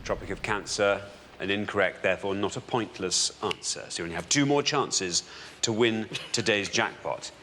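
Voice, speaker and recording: A British man presenting a television quiz show, deliberate in his delivery and building suspense as he goes. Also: lowish voice, noisy background. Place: television studio.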